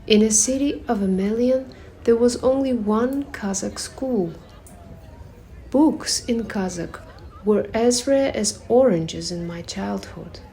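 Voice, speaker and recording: A woman reading a personal essay aloud at a public reading, in a measured, reflective way with pauses between phrases. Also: medium pitch, warm tone, slight background noise. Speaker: reader at a performative reading.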